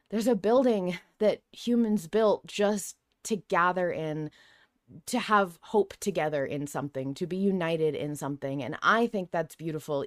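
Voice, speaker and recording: A woman hosting a podcast, talking casually in an expressive, reflective way. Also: on the low side, no room sound, clean studio sound. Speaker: podcast host.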